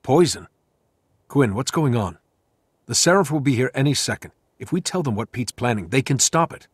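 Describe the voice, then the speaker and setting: Husky, earnest streetwise hero. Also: male voice, medium pitch, animated, normal speed, no echo, studio quality. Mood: urgent persuading. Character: earnest young male streetwise hero, determined, loyal. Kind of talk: video game voice acting. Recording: music behind the voice.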